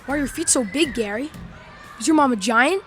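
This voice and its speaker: A woman's voice: high voice, expressive, brisk, faint music behind, some chatter behind. A woman acting a mean, taunting schoolboy bully in an audio drama. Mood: sneering. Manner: sneering, taunting.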